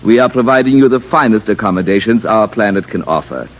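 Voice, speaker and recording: An actor playing a courteous, smug alien official with a formal, ponderous manner in a 1950s radio drama. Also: male voice, fairly low pitch, expressive, a little fast, rough recording. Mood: formal, welcoming. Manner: formal, ponderous, faintly condescending.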